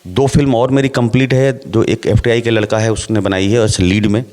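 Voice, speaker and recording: A middle-aged man, an actor, talking in a relaxed interview and laying out his points with rhetorical questions. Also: lowish voice, fairly quick. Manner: explains at length with rhetorical questions.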